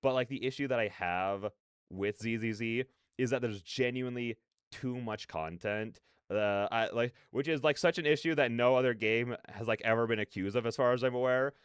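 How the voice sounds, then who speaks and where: A man's voice: mid-range voice, moderate pace, clear, resonant tone, no room sound, studio quality. Clean-voiced man chatting on a livestream. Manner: animated, slangy, rambling opinions. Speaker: livestreamer, reaction host. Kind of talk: casual livestream chat with viewers.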